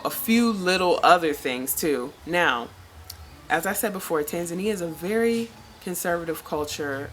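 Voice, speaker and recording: A woman from the United States talks through her experience in a relaxed, candid vlog, full of "you know" and "like." Her voice sits low in pitch. Faint outdoor ambience and birdsong sit behind her. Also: a little hiss or hum. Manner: chatty, frank, lots of fillers. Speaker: vlogger. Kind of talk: casual personal vlog.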